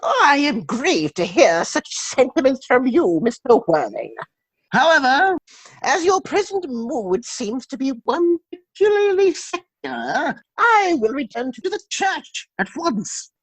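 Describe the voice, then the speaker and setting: A woman playing a fussy, genial, pious elderly clergyman in a comic play reading, with an effusive, deliberate manner. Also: sits high, sing-song, no echo, rough recording. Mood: grieved, formal.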